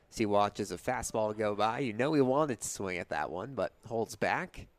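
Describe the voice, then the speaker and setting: A man's voice: on the low side, no echo. A young man doing live radio play-by-play commentary of a baseball game as the announcer. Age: young adult.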